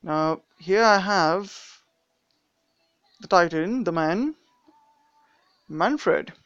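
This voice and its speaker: A man's voice: sits high, lively intonation, drawn-out words, a bit bright, no echo, lo-fi sound, faint music behind. A young man with a mixed British and Indian accent, casually commenting on a mobile game during a live stream, rambling with many fillers and restarts.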